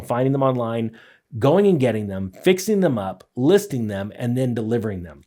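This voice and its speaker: A man's voice: medium pitch, a little mellow. Conversational man giving direct advice. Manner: conversational, direct, rhetorical check-in questions. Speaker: host, side hustle course teacher. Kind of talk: casual explanatory talk to viewers.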